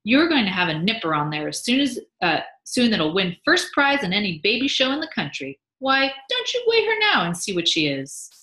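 Blustering, cheerful, excitable storybook husband, read by a woman; forceful delivery, echoey room. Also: medium pitch, normal speed, few pauses, voice pushed up. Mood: excited. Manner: exclaiming, indignant, coaxing. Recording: roomy echo.

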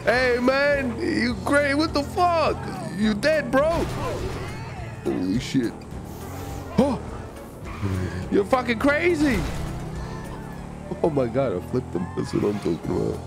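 A man commentates on a game with a highly animated, swinging pitch. He taunts playfully and swears as he goes, exclaiming often. Music plays behind his voice. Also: takes it slowly, creaky, long pauses. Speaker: gaming YouTuber playing online roleplay. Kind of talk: excited gameplay commentary. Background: music and game vehicle sounds.

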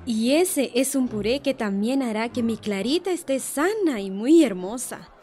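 A woman's voice: on the high side, expressive, unhurried, dark tone. An actress playing an earnest, respectful young village mother in a radio soap, with a Guatemalan accent. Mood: hopeful. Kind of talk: radio soap opera acting.

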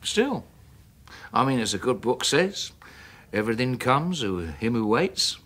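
A man's voice: medium pitch, very animated, a little slow, fairly bright tone. Jittery scheming Englishman, wildly swooping voice. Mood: chummy, scheming. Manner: rambling, repetitive, matey. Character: tipsy, scheming, jittery English husband, chummy and bluff. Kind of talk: 1980s radio drama acting.

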